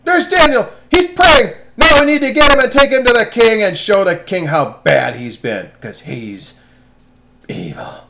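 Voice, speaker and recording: A man's voice: high-pitched, very animated, unhurried, gravelly, bright, forceful tone, slight background noise, pitched above the performer's own voice, full character voice. A man voicing jealous court officials plotting in a raised, eager voice. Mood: gleeful.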